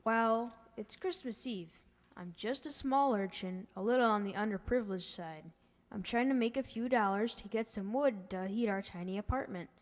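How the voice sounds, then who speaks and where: A woman's voice: mid-range voice, moderate pace, lightly acted. A woman playing a small, poor boy peddling his wares with persistent, matter-of-fact patter in an old-time radio comedy. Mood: plaintive sales pitch. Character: small poor urchin boy, persistent Christmas tree salesman. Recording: reverberant hall stage recording.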